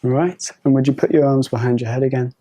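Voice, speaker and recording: Soft-spoken woman doctor giving instructions. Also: a bass voice, steady tone, fast. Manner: soft, polite, reassuring requests. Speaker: doctor examining a patient. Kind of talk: gentle clinical instructions.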